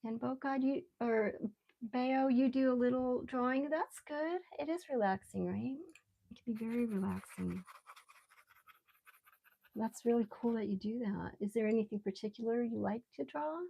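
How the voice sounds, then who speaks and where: A woman with a relaxed voice chats casually on a live stream as she draws, rambling unhurriedly and thinking aloud. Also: fairly high pitch, normal speed, gravelly. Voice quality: relaxed, soft-edged voice. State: relaxed, mildly sedated after anesthetic. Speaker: live stream artist host. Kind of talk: casual live stream chat while drawing.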